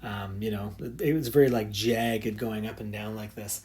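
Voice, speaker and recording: A male high school physics teacher talking through a recorded lesson in a casual, loose way, with lots of fillers and restarts. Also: fairly even intonation, normal speed, a little mellow, a little hiss or hum.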